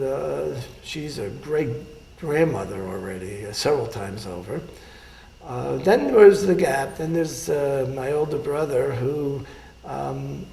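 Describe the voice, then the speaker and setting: An older man, a surgeon, recalling his life in an oral history interview, speaking haltingly with many pauses and fillers. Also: medium pitch, creaky, darkish tone, reverberant, slight background noise, poor audio.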